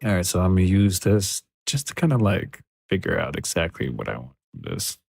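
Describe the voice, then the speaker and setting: A man mixing music on a livestream, thinking aloud in a soft, relaxed, casual way. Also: low-pitched, a little fast, gravelly, breathy edge, dry recording. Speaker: music producer streaming a mixing session. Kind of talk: casual livestream commentary while working. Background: faint music from the mix being worked on.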